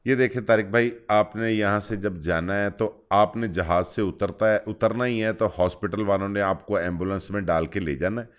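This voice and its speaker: A man's voice: on the low side, steady tone, moderate pace, few pauses, clear, resonant tone. A man with a Pakistani accent making a prank phone call, explaining things in an insistent, reassuring, matter-of-fact way. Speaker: prank caller.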